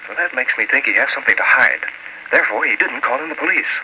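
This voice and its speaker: A man's voice: mid-range voice, expressive, fast, pushed and bright. A man playing a resolute, tough masked crime fighter in an old-time radio drama, terse and commanding. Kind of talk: 1940s radio acting. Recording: noisy old radio recording.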